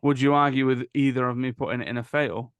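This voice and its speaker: A man with a medium-pitched voice hosts an informal podcast. He talks in a casual, opinionated way, recorded dry with hardly any room sound. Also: fast, clear, resonant tone, no echo. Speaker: podcast host.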